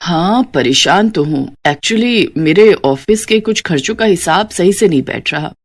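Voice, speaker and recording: Brisk lady boss of the house, voiced by a woman narrator, demanding but fair, cartoon story. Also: fairly low pitch, animated. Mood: worried. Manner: businesslike, authoritative. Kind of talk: acted dialogue in a Hindi cartoon story.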